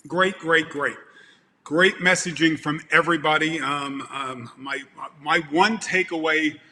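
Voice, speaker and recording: A man in his fifties with a Brooklyn accent, giving an animated motivational talk over a video call and repeating words for emphasis. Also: fairly high pitch, a little slow, in a large hall. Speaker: event producer speaking to a conference. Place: home room with plants and lamps, indoors. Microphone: video call webcam.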